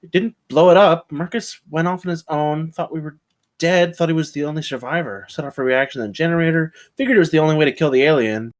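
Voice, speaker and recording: A man's voice: fairly high pitch, fast, natural voice. A man reading the part of a talkative, nervous stranded scientist at a screenplay table read. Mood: matter-of-fact.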